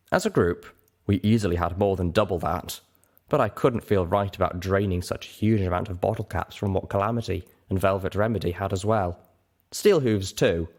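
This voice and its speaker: Low-voiced man narrating a story. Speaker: narrator of a fan fiction reading show. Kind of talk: scripted first-person story narration.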